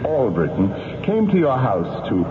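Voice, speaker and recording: A man's voice: medium pitch, wildly swooping pitch, a little slow, noisy background. A stern, insistent courtroom prosecutor in a vintage radio play. He is formal and emphatic, with a wide-swinging mid-range voice. The sound is phone-like and rings in a long hall reverb. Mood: formal, probing. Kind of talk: 1970s radio drama acting. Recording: muffled old radio, echoey hall reverb.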